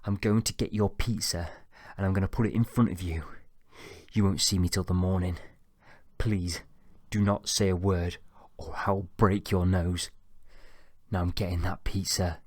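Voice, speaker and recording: A man voicing a tense young man who speaks in a low, cold, controlled way. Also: steady tone, moderate pace, darkish tone, no room sound, clean studio sound. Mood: coldly threatening. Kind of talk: audiobook character voice.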